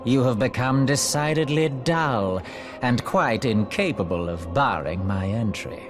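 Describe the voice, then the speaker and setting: An actor playing an arrogant, sinister sorcerer villain in a video game, with pompous, theatrical, archaic diction. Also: male voice, medium pitch, expressive, measured pace, over music, natural voice. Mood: mocking. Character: arrogant, sinister male dark sorcerer villain, gloating and condescending.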